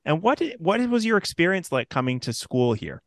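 A man's voice: on the high side, very animated, fairly quick, no echo. A man asking conversational questions as a podcast interviewer.

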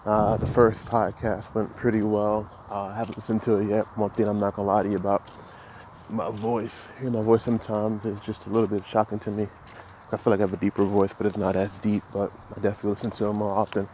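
A man in his thirties hosting a solo podcast, talking reflectively about his goals in a halting way with many restarts. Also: fairly low pitch, level tone, warm tone, a little hiss or hum, rough recording. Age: 30s. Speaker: podcast host. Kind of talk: solo motivational podcast monologue.

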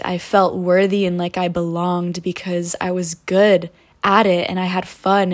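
A woman in her twenties hosting a podcast, telling her life story in a soft, reflective and earnest way, with spiritual wording. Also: mid-range voice. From United States. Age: 20s. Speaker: podcast host. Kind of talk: personal storytelling monologue.

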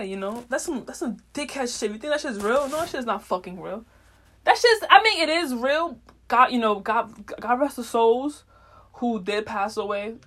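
A woman hosts a solo podcast in a loose, casual way. She speaks in slang and swears freely. Her talk is unfiltered and wanders as she thinks out loud. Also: a little high. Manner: meandering, thinking aloud. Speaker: podcast host. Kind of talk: casual rambling monologue.

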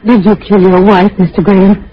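A woman's voice: medium pitch, level tone, fairly quick, lightly acted. An actress playing an anxious, insistent female lodger in a vintage radio drama, urgent and hesitant. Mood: tense, curious. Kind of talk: 1940s radio acting. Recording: muffled, noisy old radio recording.

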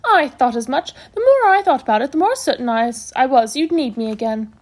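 A woman voicing a brisk, bossy, self-assured hospital matron in a storybook, scolding cheerfully in emphatic exclamations. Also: high voice, animated. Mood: brisk, pleased.

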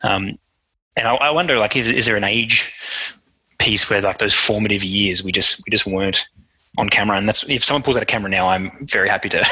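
A man's voice: fairly low pitch, fairly quick, sharp tone, breathy edge, low-quality recording. A man as a podcast guest, speaking reflectively and hesitantly with many fillers. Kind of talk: casual interview conversation.

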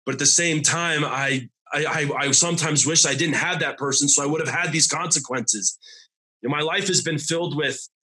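A man in his thirties from Arizona, telling his recovery testimony as a podcast guest. He talks haltingly and often repeats and restarts his words. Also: fairly high pitch, pushed and bright, husky. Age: 30s.

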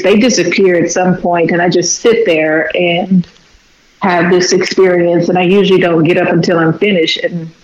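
Woman guest poet from Texas, mid voice, unhurried and thoughtful, roomy dull radio sound. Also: on the low side, a little mellow, slight background noise. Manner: reflective, unhurried, with filler pauses. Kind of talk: radio interview conversation.

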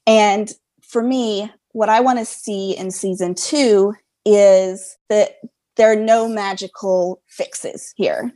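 A woman's voice: medium pitch, steady tone, slow pace, dark tone. A woman answering interview questions as a guest, earnest and emphatic, repeating phrases for weight. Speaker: podcast guest, fan fiction writer.

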